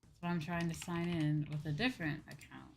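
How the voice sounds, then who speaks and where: A woman's voice: sits low, steady tone, a little fast, vocal fry, a little hiss or hum. A woman livestreamer chatting casually and ranting in a dramatic, exasperated way, repeating phrases for emphasis. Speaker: livestreaming VTuber host.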